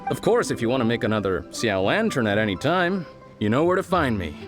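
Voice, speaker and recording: An actor playing a friendly, modest craftsman in a video game, explaining things in a measured, thoughtful way. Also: mid-range voice, very animated, over music, natural voice. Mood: patient, informative. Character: friendly, modest male lantern craftsman, patient teacher. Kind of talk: video game character dialogue.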